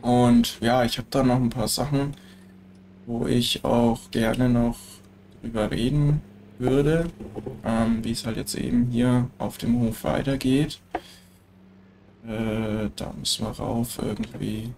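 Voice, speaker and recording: A man with a German accent talks his way through a farming game in an easygoing, chatty voice, dropping little filler tags as he goes. He has a cold, so his nose sounds stuffed up, and his voice has a gravelly rasp as he speaks slowly and unhurriedly. A faint noise sits underneath the recording. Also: medium pitch, fairly even intonation, a little mellow. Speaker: let's play gamer. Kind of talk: casual gameplay commentary.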